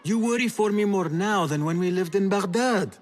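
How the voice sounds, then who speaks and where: A man's voice: high voice, normal speed, a little hiss or hum, close to an everyday voice. A man playing a confident, teasing young street thief in a video game. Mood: cocky. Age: young adult. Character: cocky, playful, warm-hearted young male street thief. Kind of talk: dramatic video game acting.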